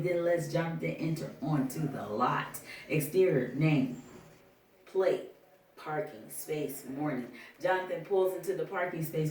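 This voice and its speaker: A reader works through screenplay directions in a slightly halting way. The voice is mid-pitched and picked up from a distance in an echoey room, with faint noise behind it. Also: darkish tone. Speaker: table read participant reading stage directions. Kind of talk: reading screenplay directions aloud. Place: indoor room at a table reading.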